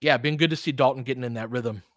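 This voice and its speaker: A man's voice: mid-range voice, steady tone, quick pace, fairly bright tone, dry recording, clean studio sound. A man hosting casual game commentary, chatting candidly and rambling through stories and asides. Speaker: host doing audio play-by-play.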